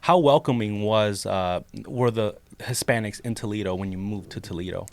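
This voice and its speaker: A man hosting a conversational interview, asking curious, relaxed questions. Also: fairly low pitch, moderate pace. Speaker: host.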